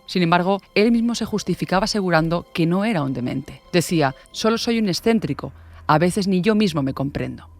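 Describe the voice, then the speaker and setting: A woman's voice: on the low side, animated, a little fast. A woman from reads a scripted, dramatic true-crime narration. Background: music.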